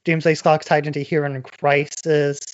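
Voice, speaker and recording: A man's voice: on the high side, little pitch movement, normal speed, dry recording, dull audio. A man co-hosting a podcast, explaining things casually in long, conversational runs of thought. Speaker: podcast co-host.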